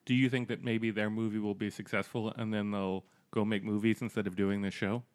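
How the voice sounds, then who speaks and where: A man's voice: on the low side, level tone, fairly quick. A man in a comedy sketch, asking questions in a mild, hesitant way.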